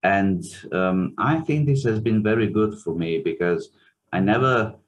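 A man with an Italian accent, a pianist and music teacher, answering interview questions thoughtfully with many pauses and restarts. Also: on the low side. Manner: hesitant, many fillers and restarts.

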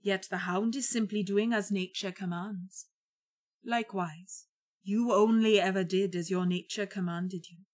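A woman storyteller voicing a courteous male lord of the otherworld, measured, solemn and gracious. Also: medium pitch, steady tone, close to an everyday voice. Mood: solemn, knowing.

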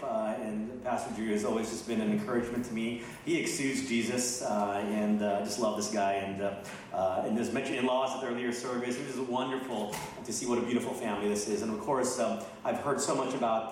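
A man's voice: fairly low pitch, darkish tone, a little hiss or hum. A middle-aged man, from the Philippines and raised in Texas, preaching a sermon as a guest pastor in a casual way with many fillers and restarts. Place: church sanctuary. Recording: distant mic in an echoey hall.

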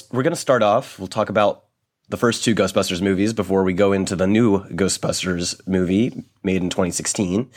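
A young man hosting a podcast, his voice a bit worn, talking casually with many hesitations and restarts. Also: fairly low pitch. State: voice worn after a late party. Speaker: podcast host.

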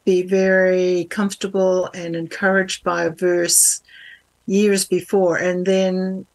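An older woman from New Zealand with a mixed international accent, speaking as a podcast guest in a measured, earnest way. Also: on the low side, flat delivery, darkish tone. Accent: international, not typically Kiwi. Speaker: guest, Bible translator. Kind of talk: interview answers.

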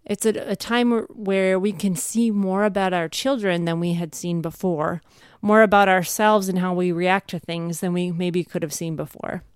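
A woman's voice: on the low side, fairly even intonation, moderate pace, clean tone, no echo, studio quality. A woman in her thirties or forties sharing advice conversationally as a co-host, earnest and emphatic. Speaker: podcast co-host, homeschooling mother.

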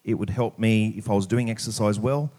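A man's voice: on the low side, a little fast, dark tone. A middle-aged Australian man preaching at church in an informal, plain-spoken, conversational way. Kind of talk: church sermon with prayer, scripture reading and casual anecdotes.

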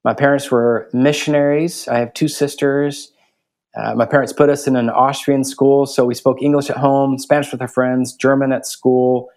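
A man's voice: medium pitch, flat delivery, normal speed, darkish tone. Middle-aged man, theology professor, thoughtful interview guest. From Guatemala. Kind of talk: interview conversation.

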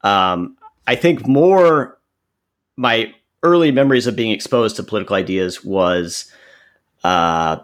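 A man in his thirties from Central Texas, a podcast guest who explains his views in an earnest, measured way. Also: fairly low pitch, lively intonation. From Central Texas, USA. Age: 30s. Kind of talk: podcast interview answers.

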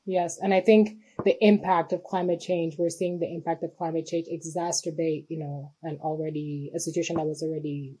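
A woman from Cameroon speaks in a husky, mellow, lowish voice. She talks about her work thoughtfully, pausing often and restarting her sentences as she reaches for words. Her delivery is measured and conversational. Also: steady tone, poor audio. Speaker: guest, humanitarian worker. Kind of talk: conversational interview.

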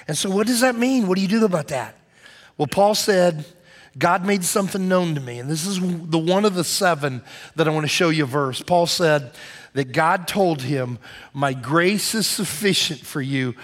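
A man's voice: fairly high pitch, a bit bright. A man giving a conversational sermon as pastor, repeating his points for emphasis. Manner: conversational preaching with emphatic repetition.

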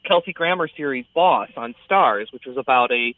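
An American theater critic talking conversationally in a radio discussion. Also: male voice, mid-range voice, expressive, moderate pace, dry recording. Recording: dry, narrow phone-like line.

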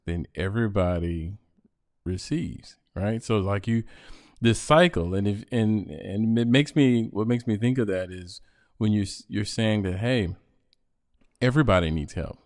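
Low-voiced halting male podcast host. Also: a little mellow, no room sound, clean studio sound. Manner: halting, many restarts and "uh"s. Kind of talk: interview conversation.

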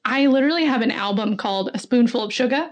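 A woman's voice: a little high, animated, quick pace. A young woman guesting on a podcast, gushing as a fan in casual chat. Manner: gushing, effusive fan talk.

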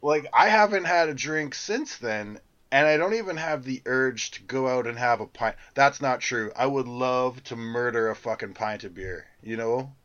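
A man's voice: mid-range voice, normal speed, hardly any pauses, fairly bright tone, highs cut off. A man co-hosting a podcast in casual chat, telling crude rambling stories. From Canada. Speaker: podcast co-host.

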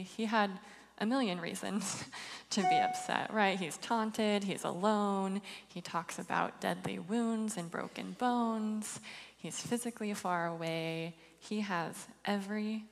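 A woman giving a reflective sermon talk about a psalm, measured and slow, sometimes laughing lightly. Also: mid-range voice, vocal fry, in a large hall, slight background noise. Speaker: co-presenter of a church testimony.

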